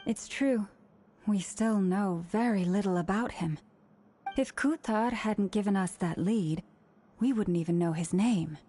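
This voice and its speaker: Game role, female actor: sincere, steadfast young priestess, soft dark-toned delivery, calm and earnest. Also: medium pitch, unhurried, a little hiss or hum, natural voice. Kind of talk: video game dialogue acting.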